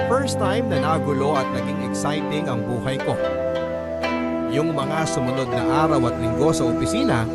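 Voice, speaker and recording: Casting: male actor as smitten Filipino office worker, confessional radio drama narration, mid-pitched, strong music bed. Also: close to an everyday voice. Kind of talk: scripted first-person narration, radio drama. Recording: loud music bed behind voice.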